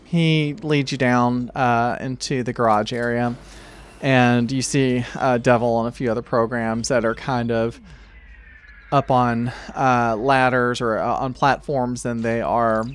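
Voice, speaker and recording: A man narrating a tabletop game as game master, improvising in a measured, halting way. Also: mid-range voice, clean tone. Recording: steady noise behind the voice.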